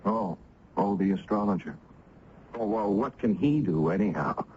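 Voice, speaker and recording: A man's voice: lowish voice, sing-song, normal speed, gravelly. An actor playing an earnest, reassuring village youth who tells his story in a radio drama, with emphatic delivery. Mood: uneasy, reassuring. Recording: muffled, hissy old radio recording.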